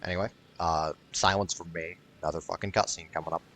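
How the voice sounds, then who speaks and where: A man with a low voice talks casually over a video game as the host of a let's play. He is blunt and swears freely, with faint noise behind him. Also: fairly even intonation, fast, fairly bright tone.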